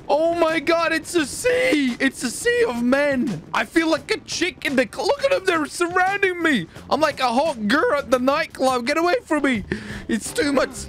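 A man doing excited, theatrical gaming roleplay, playfully repeating phrases in quick bursts. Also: very high voice. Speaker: streamer playing a clone gang leader. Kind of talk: excited game roleplay commentary.

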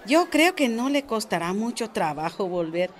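Woman acting an animated Guatemalan village grandmother. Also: mid-range voice, normal speed, natural voice. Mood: cheerful. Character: chatty, cautious village grandmother, mother-in-law. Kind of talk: radio soap opera acting.

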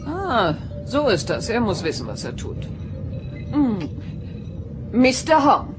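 An actress playing a flamboyant, imperious, flirtatious middle-aged mother in a radio play, with theatrical pauses. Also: a little high, huge pitch swings, exaggerated voice. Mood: haughty, flirtatious. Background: dramatic music.

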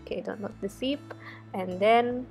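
A woman's voice: medium pitch, moderate pace, gravelly, darkish tone, faint music behind. A young woman from Indonesia, a research fellow, talking viewers through a coding tutorial over a screen recording in a chatty way with many fillers. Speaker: research fellow teaching data analysis.

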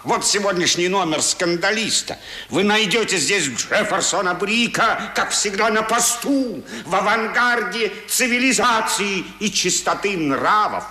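An actor playing a pompous, boastful American colonel and newspaper editor in a Russian radio drama, declaiming grandly. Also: male voice, high voice, expressive, measured pace, bright, forceful tone, exaggerated voice. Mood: grandiose, proud. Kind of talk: Soviet 1970s radio drama acting. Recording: roomy 1970s radio play recording.

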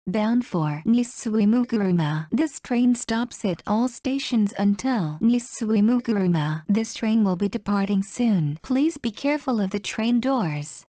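A woman's voice: mid-range voice, expressive, slow pace, hardly any pauses, warm tone, no room sound. A woman reading a scripted station announcement as a train announcer.

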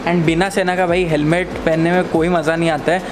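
A man's voice: fairly high pitch, level tone, fairly quick. A young man with an Indian accent chatting casually as a motorbike vlogger, mixing in English words, on a handheld camera outdoors. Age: young adult. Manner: mixes English words into Hindi. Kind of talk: casual vlog chat. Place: covered open-air home driveway. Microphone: handheld camera at arm's length. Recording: loud outdoor street noise.

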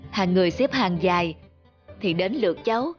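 Caring, gentle cartoon man, voiced by a woman, reassuring delivery, music in the background. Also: lowish voice, lively intonation, fairly quick, lo-fi sound, close to an everyday voice. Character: kind, caring man helping a disaster orphan. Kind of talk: animated moral tale acting.

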